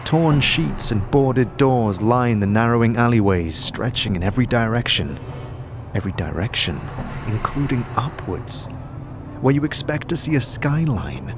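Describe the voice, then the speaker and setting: A man's voice: mid-range voice, animated, unhurried, noisy background. A man giving scripted, second-person narration for a fantasy audio drama as its storyteller. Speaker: narrator and game-master storyteller.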